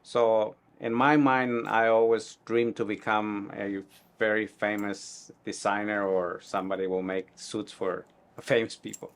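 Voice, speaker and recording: A middle-aged man from Guatemala with a Guatemalan Spanish accent, answering interview questions in a halting, reflective way. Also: on the low side, little pitch movement. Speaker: tailor being interviewed.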